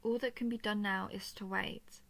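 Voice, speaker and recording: A royal dragoness played by a woman speaks closely to her listener in an intimate audio drama. Her mid-pitched voice has plenty of creak. She is softly playful, tender and protective, with slightly formal phrasing. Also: fairly even intonation, normal speed. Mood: tender, wistful. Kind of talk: intimate scripted audio roleplay addressed to listener.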